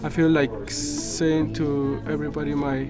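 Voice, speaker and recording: A young man in a school classroom talking haltingly about his experience, repeating words as he goes. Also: fairly high pitch, level tone, over music. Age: young adult. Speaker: student participant. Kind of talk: halting personal testimony.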